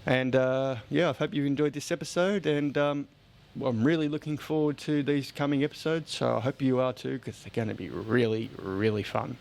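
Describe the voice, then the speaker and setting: A man's voice: mid-range voice, fairly even intonation, normal speed, vocal fry, a little mellow. A man with an Australian accent chatting casually as a podcast host, blunt and joking as he rambles along. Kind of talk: casual chat.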